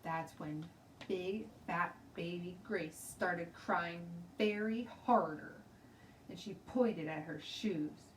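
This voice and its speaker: A woman teacher reading a children's storybook aloud in an expressive, deliberate way. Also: on the low side. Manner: deliberate, animated read-aloud. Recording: roomy, distant mic, faint noise.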